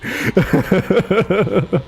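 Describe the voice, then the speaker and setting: Guy streaming games, slow relaxed pace, jokey, chuckles a lot, game music in the bg. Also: high voice, vocal fry. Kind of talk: casual game livestream commentary.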